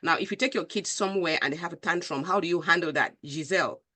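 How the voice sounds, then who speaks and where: A woman's voice: fairly low pitch, quick pace, bright, forceful tone. A woman with a Cameroonian accent, hosting a conversational talk show and interviewing her guests.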